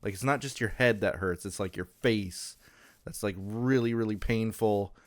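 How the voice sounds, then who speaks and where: A man's voice: lowish voice, moderate pace. A man hosting a let's-play, talking casually through a blocked-up sinus, hesitant and full of ums and restarts. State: stuffed up with a sinus infection. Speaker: Minecraft server let's-play host. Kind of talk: casual gameplay commentary.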